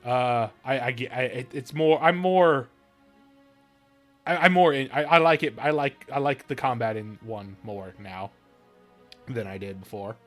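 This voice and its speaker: A man streams a video game and chats casually as he plays. His talk is rambling and self-correcting, with profanity, in a mid-pitched voice. Faint music runs behind him. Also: measured pace. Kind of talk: casual live stream chat and commentary.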